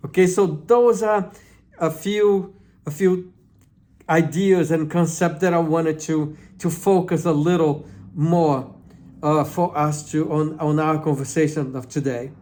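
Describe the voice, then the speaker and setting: A man's voice: fairly high pitch, steady tone, measured pace, a little mellow. A man with a Brazilian accent hosting his own channel, giving earnest advice in a hesitant way, often repeating and restarting words. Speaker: channel host. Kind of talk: informal talk to camera.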